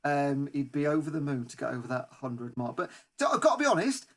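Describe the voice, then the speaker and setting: A man with a fairly high voice chats as a podcast co-host. His delivery is informal and conversational, like talk between two regular hosts. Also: big pitch swings, quick pace. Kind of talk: casual football podcast chat. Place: remote online recording.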